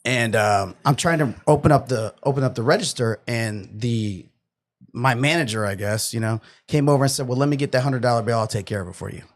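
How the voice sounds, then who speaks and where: A young man from New York telling a profane, animated story in a casual podcast chat. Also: medium pitch, brisk. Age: 20s.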